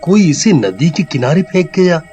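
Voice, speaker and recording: A man playing a young boyfriend in an animated horror story, dramatic, grumbling and easily frightened. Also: a little high, normal speed, highs cut off, faint music behind. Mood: grumbling, impressed.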